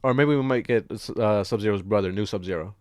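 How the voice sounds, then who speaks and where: A man's voice: fairly low pitch, fast, no echo. Young male podcast co-host, dry sound, slangy, sweary, loose conversational rambling. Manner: rambling, slangy, profane, jokey. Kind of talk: casual movie discussion chat.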